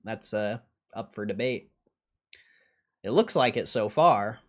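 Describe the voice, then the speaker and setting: A man's voice: fairly low pitch, lively intonation, slow. A man hosting a livestream in a casual, blunt and dismissive way, swearing now and then as he answers chat. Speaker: livestream host.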